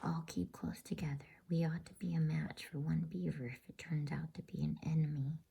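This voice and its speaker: A woman voicing a brave, polite eldest brother in a storybook, speaking in a hushed, earnest way. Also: sits low, flat delivery, moderate pace, creaky, slightly rough, full character voice. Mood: resolute.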